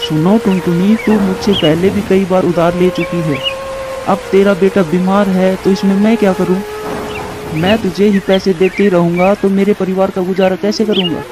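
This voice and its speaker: A scheming, stingy crow from a children's cartoon, acted by a man. He speaks bluntly in an unusually high voice, over prominent music. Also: steady tone, normal speed, close to an everyday voice, rough recording. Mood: dismissive. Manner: blunt, dismissive. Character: stingy, scheming male crow, stern older brother. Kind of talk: Hindi children's cartoon voice acting. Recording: music bed behind the voice.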